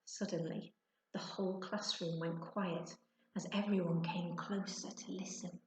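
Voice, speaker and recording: A woman's voice: on the low side, fairly even intonation, measured pace. A woman narrating a children's storybook expressively, like a teacher reading to her class. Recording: echoey, roomy sound.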